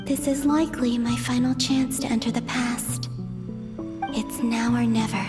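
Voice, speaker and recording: Game casting: actress as pensive young goddess, soft, solemn, lightly high-pitched, very unhurried delivery. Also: female voice, steady tone, over music, close to an everyday voice. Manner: slow, measured, introspective monologue. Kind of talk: video game voice acting.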